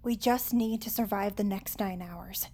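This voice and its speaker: A woman playing a nerdy, earnest high school superfan in an audio drama, explaining things at length. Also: steady tone, measured pace, darkish tone. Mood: tense, matter-of-fact.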